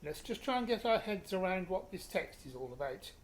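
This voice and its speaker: A man's voice: high-pitched, sing-song, fairly quick, poor audio. A man with a British accent playing a terse, dry-humoured middle-aged father in a naturalistic radio play. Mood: brisk, reasoning.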